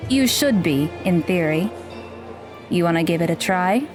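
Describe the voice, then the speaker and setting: An actress playing a blunt, aloof young magical mentor who talks in a dry, deadpan way in an audio drama. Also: female voice, fairly low pitch, a little slow. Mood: instructive. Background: background music.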